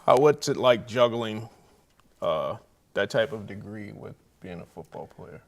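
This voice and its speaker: A man's voice: fairly low pitch, expressive, a little slow, creaky. An American man hosting a college sports show, asking questions in a conversational interview style.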